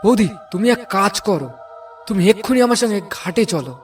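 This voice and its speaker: A man's voice: sits high, lively intonation, brisk. A man voicing a concerned young villager in a cartoon, earnest and urging. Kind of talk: cartoon voice acting. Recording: slightly distant, music behind.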